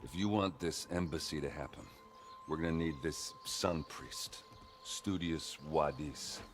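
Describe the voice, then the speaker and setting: A man acting a gruff, wisecracking battered warrior in a video game cutscene, loyal but sardonic and halting. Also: extremely low pitch, faint music behind. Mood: resigned, weary.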